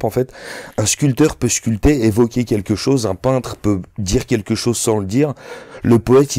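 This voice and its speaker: A man's voice: fairly low pitch, warm tone, no echo, clean studio sound. A man in his thirties, not a native French speaker, chatting loosely and slangily as a rapper guest in a sit-down interview, full of "tu vois" fillers. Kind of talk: casual interview chat.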